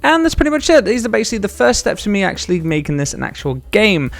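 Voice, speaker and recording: Young adult man, British accent, informal devlog narration, rapid delivery, fry in the voice, background music. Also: sits high, sing-song. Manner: informal, explanatory. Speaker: game developer and video host.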